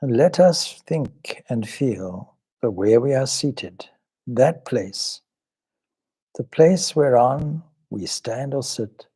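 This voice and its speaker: A man leading a guided meditation as a swami, speaking calmly and reverently in measured short phrases with pauses. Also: medium pitch, husky. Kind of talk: guided meditation with some Sanskrit chanting.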